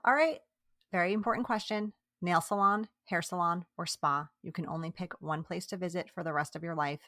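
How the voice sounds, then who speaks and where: A woman's voice: lowish voice, brisk, fairly bright tone, no room sound, studio quality. A woman hosting an interview podcast, encouraging and affirming toward her guest and her listeners. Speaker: podcast host.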